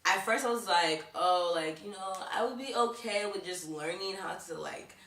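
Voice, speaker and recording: Chatty young woman, bright echoey voice. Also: mid-range voice, normal speed, recorded from a distance. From Baltimore, USA. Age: young adult. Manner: chatty, leans on "like" constantly. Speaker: vlogger. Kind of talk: casual talk to camera.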